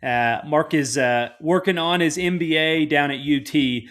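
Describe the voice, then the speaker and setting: A man's voice: a little high, a little slow, fairly bright tone. A man hosting a podcast in a casual, conversational interview style, with a jovial, self-deprecating manner. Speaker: podcast host.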